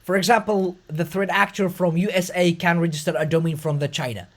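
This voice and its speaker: High-pitched host, lots of uh and restarts, explaining stuff. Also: male voice, steady tone. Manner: fast, effortful talk with frequent fillers and restarts. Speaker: podcast host. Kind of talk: conversational interview.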